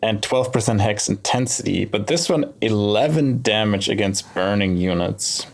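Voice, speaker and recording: A man giving casual gameplay commentary, thinking aloud in short, musing phrases with pauses between them. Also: fairly low pitch, gravelly. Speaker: let's play host. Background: game music.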